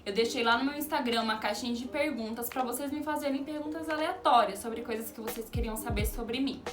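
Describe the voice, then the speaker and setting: A woman's voice: on the high side, brisk. A woman in her twenties from Brazil, chatting casually as she answers viewer questions in her vlog, with music playing behind. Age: 20s. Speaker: youtuber. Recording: roomy echo, distant mic, music behind. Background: background music.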